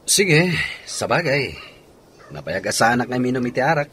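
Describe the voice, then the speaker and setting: A man's voice: medium pitch, big pitch swings, normal speed, fairly bright tone, slight background noise. A man acting an office worker out with his sweetheart in an Ilocano radio drama. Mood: placating.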